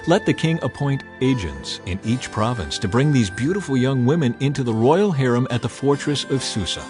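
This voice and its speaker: A man's voice: mid-range voice, lively intonation, moderate pace, music in the background, lightly acted. A man voicing an eager, courtly royal attendant in a dramatized scripture reading, formal and persuasive. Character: eager, courtly male royal attendant advising his king.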